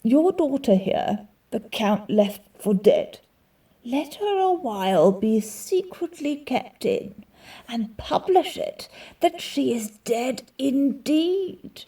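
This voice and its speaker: A woman playing a calm, compassionate friar in a Shakespearean radio play, giving measured, solemn and persuasive counsel. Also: high voice, full character voice. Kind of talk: Shakespearean radio drama acting. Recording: home recording, slightly distant mic.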